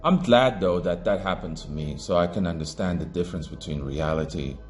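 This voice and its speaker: Man from Africa, low voice, preachy ranting commentator, faint music underneath. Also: fairly quick. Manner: preachy, emphatic, swings between raised declaring and low muttering. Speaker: online commentator. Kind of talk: impassioned monologue to camera.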